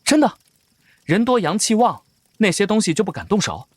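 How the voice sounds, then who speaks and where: A man's voice: high-pitched, very animated, normal speed, dry recording. An actor playing a young male cultivator in an audio drama, an earnest and wary traveller who talks in a probing, questioning way.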